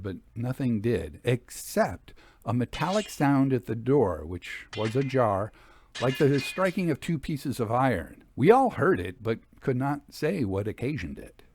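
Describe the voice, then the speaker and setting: A man reading a Victorian ghost story as scripted narration, in a measured storytelling delivery. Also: medium pitch, darkish tone, no room sound. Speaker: audiobook reader.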